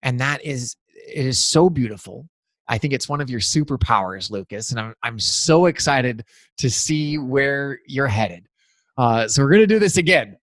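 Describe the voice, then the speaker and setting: A man's voice: medium pitch, expressive, moderate pace, dry recording. A man hosting a podcast, wrapping up an interview and giving an enthusiastic, motivational outro that repeats phrases for emphasis. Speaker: podcast host.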